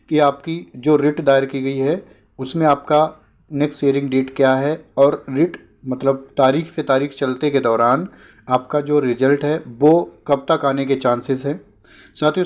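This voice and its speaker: A man's voice: mid-range voice, darkish tone. A man hosting an informal news explainer, repeating points and posing short questions that he answers himself. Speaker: host of an exam updates channel.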